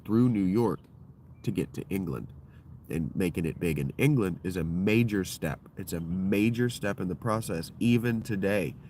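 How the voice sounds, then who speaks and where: A man with a deep voice hosting his own livestream, talking in a loose, digressive and profane monologue that keeps circling back on itself. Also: darkish tone, a little hiss or hum. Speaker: livestream host. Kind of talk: rambling solo livestream monologue.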